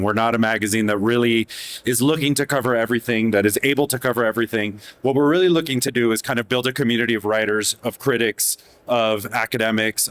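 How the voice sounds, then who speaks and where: A man who grew up in Mexico, speaking as a magazine editor on a panel, thoughtful and hedging, with many restarts. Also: mid-range voice. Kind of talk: panel discussion. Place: event hall panel.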